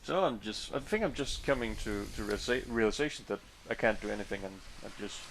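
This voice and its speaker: Danish dude commentating his card game, lowish voice, chatty, lots of restarts and uhs. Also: fast talker, a bit bright, a little hiss or hum. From Denmark. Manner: chatty, hesitant, many restarts. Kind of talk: casual commentary over match footage.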